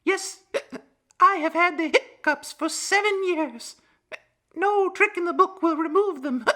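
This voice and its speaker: Man putting on a high-pitched, desperate storybook woman. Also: a little slow, sharp tone, full character voice. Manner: plaintive, exclaiming. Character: weeping, desperate woman with a long-standing ailment.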